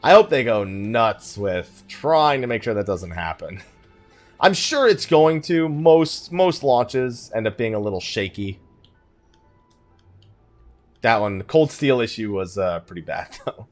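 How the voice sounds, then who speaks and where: Animated man doing casual game commentary. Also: on the low side, a little slow, soft background music. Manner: thinking aloud, muttering asides to himself. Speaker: let's play host.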